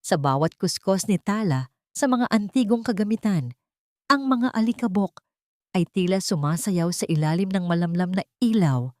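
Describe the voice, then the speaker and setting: A woman's voice: medium pitch, expressive, normal speed, a little mellow, no room sound, studio quality. A woman reading a scripted dramatic love story as an audiobook narrator.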